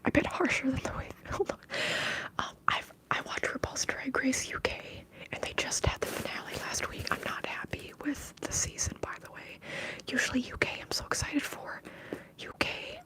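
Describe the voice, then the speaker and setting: A woman's voice: high voice, sing-song, normal speed, low-quality recording. A woman with a Minnesotan accent whispers breathily in a rambling, conversational ASMR livestream, chatting to her viewers. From Minnesota, US upper Midwest. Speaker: livestream host.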